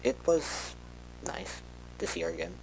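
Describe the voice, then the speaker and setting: A man's voice: sits low, very animated, measured pace, a little hiss or hum, rough recording. A man playing a researcher recording an informal log tape, talking in a hesitant, conversational way and often interrupting himself. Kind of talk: in-character monologue for a fan horror audio log.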